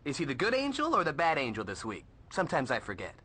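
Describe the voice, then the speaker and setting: An actor playing a cocky, joking teenage sidekick with an American accent in a video game, full of sarcasm. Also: male voice, on the high side, wildly swooping pitch, quick pace, sharp tone, breathy edge, heavily acted.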